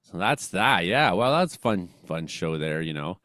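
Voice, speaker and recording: A man's voice: low voice, sing-song, unhurried, pushed and bright, no room sound, clean studio sound. A man with a Western Canadian accent hosts a comedy weather show in casual, rambling, slangy chat, joking and exclaiming as he comments.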